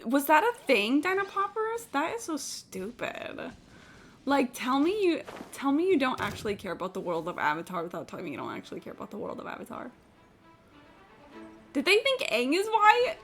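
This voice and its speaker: A woman's voice: high-pitched, huge pitch swings, moderate pace, a bit bright, faint music behind. A woman livestreaming a game, chatting casually and opinionatedly in a blunt, sweary, incredulous ramble. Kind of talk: casual opinionated chat while gaming.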